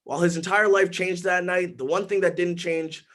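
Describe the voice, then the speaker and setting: Formal documentary narrator, a man, bright and high. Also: fairly even intonation. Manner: measured, formal.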